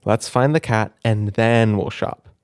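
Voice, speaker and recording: Man voicing a brisk, sensible girl bunny detective. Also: on the low side, a little mellow, clean tone, no room sound, clean studio sound, close to an everyday voice. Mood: brisk, focused.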